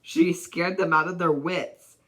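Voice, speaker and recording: A person reading a children's storybook aloud in a deliberate, dramatic way, as an amateur narrator. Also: medium pitch, steady tone, very noisy.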